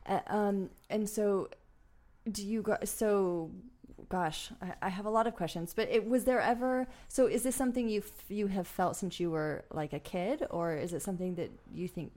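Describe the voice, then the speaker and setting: A woman's voice: medium pitch, normal speed, darkish tone. A woman co-hosting a casual interview podcast, asking hesitant, searching questions. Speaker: podcast co-host.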